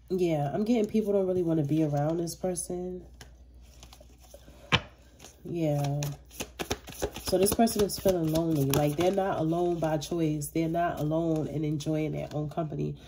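A woman with a very low, stuffy, congested voice gives a laid-back tarot reading to her viewers. She talks in loose, slangy and blunt terms, cursing freely. A clear layer of background noise runs under the recording. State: stuffy from allergies and a cold. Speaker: tarot reader.

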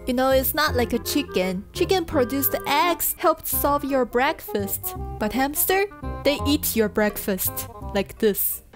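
A woman's voice: high-pitched, expressive, measured pace, over music. A woman vlogging in a casual, playful, exclamatory way. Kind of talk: casual vlog chat and narration.

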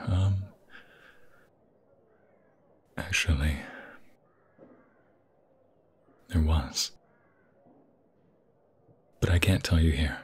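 A lovestruck vampire fiancé , acted by a man, murmurs in a deep, very husky and breathy voice. He speaks slowly and haltingly, with sentimental, flowery tenderness, often slipping toward a whisper, as in an intimate ASMR boyfriend roleplay. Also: darkish tone, heavily acted. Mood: tender, hesitant.

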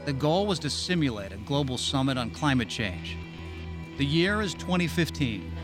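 A man's voice: mid-range voice, sing-song, moderate pace. Male documentary narrator over music. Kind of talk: scripted narration. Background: background music.

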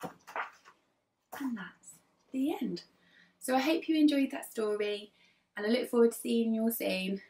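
A woman in her thirties reading a children's story aloud like an infant teacher, expressively, with dramatic pauses between phrases. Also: a little high, darkish tone. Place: living room sofa, indoors. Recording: roomy, distant mic, faint music behind.

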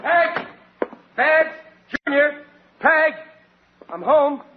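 An actor in a 1940s radio sitcom playing an excitable, dim, sentimental family man, blustering and plaintive in a colloquial way. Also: very high voice, drawn-out words, full character voice. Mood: eager calling. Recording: noisy, dull 1940s radio recording with audience.

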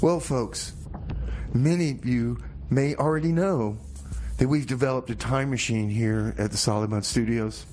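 A man hosting a community radio show with casual comedic patter, speaking in a halting, folksy, mock-earnest way. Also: mid-range voice, darkish tone, slight background noise.

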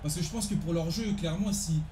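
Slangy young man, rather high voice. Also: quick pace, mellow, reverberant, slight background noise. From France. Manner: slangy, informal, talking to viewers. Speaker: streamer playing a football video game. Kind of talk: casual live gaming chat with slang. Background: football video game audio and commentary.

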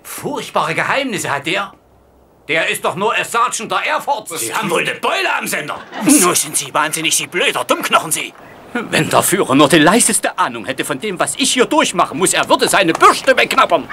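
An actor playing a pompous, exasperated, blustering middle-aged German camp commandant in a slangy comic sitcom dub, with a whining, theatrical manner. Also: male voice, high-pitched, big pitch swings, normal speed, sharp tone, slight background noise, full character voice. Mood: indignant.

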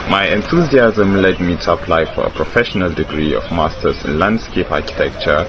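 A man's voice: sits low, expressive, a little fast. A man from Nigeria, a student, earnestly introducing himself. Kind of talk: self-introduction. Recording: dull audio with clear background noise.

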